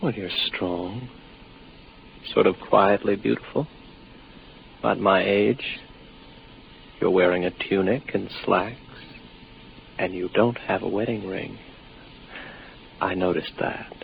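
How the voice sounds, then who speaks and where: A worn-out, brooding space officer who doubts what is real. The voice is low and creaky, the phrasing halting, in old radio drama style on a dull, hissy recording. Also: male voice, steady tone, full character voice. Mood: subdued, tender. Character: exhausted, delusional lone male space station officer, doubting reality. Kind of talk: 1950s radio drama acting.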